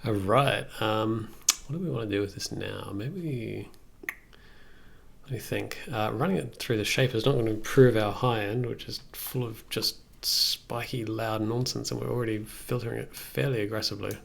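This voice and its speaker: A man's voice: on the low side, moderate pace, vocal fry. A man in his thirties thinking aloud in a casual livestream, musing and questioning himself as he works. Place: indoors at a computer desk. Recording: loud noise and clicks behind voice.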